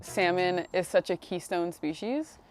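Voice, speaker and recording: A woman in her twenties from New York talks in a slightly low voice. She explains casually, stringing one thought into the next, and soft music plays behind her. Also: a little slow, low-quality recording. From Syracuse, New York. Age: 20s. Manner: long run-on explanations, casual. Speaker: safety officer. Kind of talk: documentary interview.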